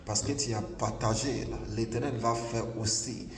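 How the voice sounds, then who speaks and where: A man's voice: medium pitch, fairly even intonation, normal speed, crowd noise. A man from Ghana with a Ghanaian accent and English as his first language, preaching an emphatic, repetitive sermon. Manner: emphatic, repetitive, filler "là" everywhere. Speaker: prophet preacher. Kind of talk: online sermon. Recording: echoey hall, distant mic, faint ambience.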